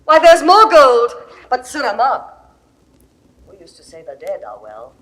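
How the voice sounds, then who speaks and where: A high-pitched, imperious queen. She is lovesick and volatile, speaking classical verse with grand theatrical force, as in a 1960s audio drama. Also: huge pitch swings, measured pace, a bit bright, slight background noise, exaggerated voice, poor audio. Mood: anxious, threatening.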